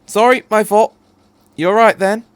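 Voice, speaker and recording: Storybook time traveller, performed by a male reader: chatty, excitable eccentric, lively higher pitch, dry recording. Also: measured pace, clean tone, no room sound. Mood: apologetic, breezy. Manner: excitable, rambling, breezy. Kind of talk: fan fiction reading.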